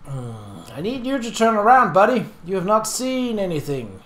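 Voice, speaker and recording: A man's voice: high voice, very animated, normal speed, a bit bright, roomy sound. A man casually commenting on his game as he plays, chatty and easily distracted, thinking aloud. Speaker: Let's Play host.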